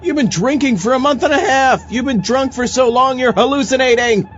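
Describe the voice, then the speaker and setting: A man's voice: extremely high pitch, fairly quick, a bit bright, highs cut off, slight background noise. A man voicing a sarcastic, incredulous zombie astronaut in a comic audio drama. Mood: exasperated.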